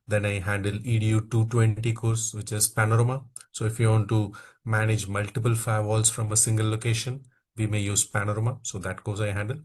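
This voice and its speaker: A man's voice: lowish voice, little pitch movement, a little fast, darkish tone. Indian male instructor coaxing a class. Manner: repeats "okay" and "uh" between phrases, coaxing participants. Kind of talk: online training class introduction.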